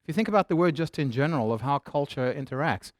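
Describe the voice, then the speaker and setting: A man's voice: a little high, lively intonation, fast, no echo, clean studio sound. A man from South Africa with a mixed South African accent, giving a humorous, conversational business talk. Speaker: keynote speaker.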